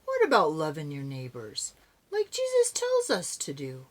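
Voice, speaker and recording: A woman voicing a gently questioning father in a children's storybook. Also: sits low, huge pitch swings, moderate pace, heavily acted. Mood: gently chiding.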